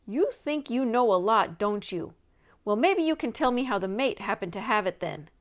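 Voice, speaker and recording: Woman voicing a gruff, defiant grizzled sailor. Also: on the high side, big pitch swings, normal speed. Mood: indignant. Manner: grunting, uneducated folksy speech. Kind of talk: storybook read-aloud character voice.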